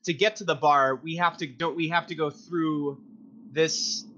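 Higher voiced guy talking game moves, dull mic, bit of hiss. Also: fairly even intonation, unhurried, sharp tone. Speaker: tabletop game player. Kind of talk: casual game chat. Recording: dull audio, faint noise.

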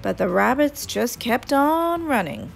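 A woman narrates a children's story expressively, with a highish, very animated voice. She takes her time over each sentence, and music plays behind her. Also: a little slow, creaky.

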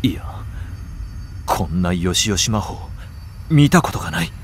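A blunt, sulky young teacher. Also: male voice, low voice, very animated, a little slow, a little hiss or hum, exaggerated voice. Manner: curt, casual and a bit sulky. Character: lazy, blunt young male nursery teacher. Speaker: voice actor. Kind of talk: anime voice drama acting.

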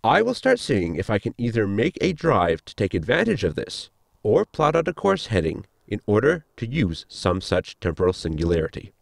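Man playing a formal, swooping, creaky sci-fi crewman. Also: lowish voice, huge pitch swings, dry recording, studio quality, full character voice. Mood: earnest, explanatory. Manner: formal, measured, explanatory. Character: polite, scientifically minded spaceship crewman, earnest and precise. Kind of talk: science fiction comedy audio drama acting.

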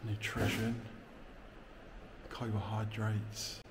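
A middle-aged man exploring an abandoned sports centre, talking quietly to himself as he films. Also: on the low side, flat delivery, very slow. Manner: hushed, muttering to himself. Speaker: urban explorer. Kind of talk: casual exploring commentary. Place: large derelict sports hall, indoors. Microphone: handheld camera on the move. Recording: noisy handheld camera recording.

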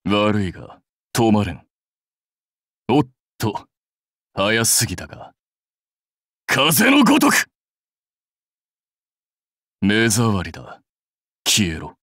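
An actor playing a proud, fierce warlord general in a mobile game, terse, rough and commanding. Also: male voice, on the low side, takes it slowly, pauses often, a bit bright, dry recording, studio quality. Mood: cool, menacing. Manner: terse, rough, declamatory delivery. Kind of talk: anime-style mobile game battle and dialogue voice lines.

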